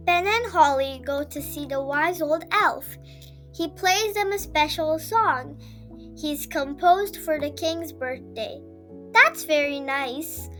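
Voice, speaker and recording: A woman reading a children's story aloud in an expressive, scripted storytelling manner. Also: high-pitched, slow, soft background music. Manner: expressive read-aloud delivery with character voices. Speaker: storybook reader.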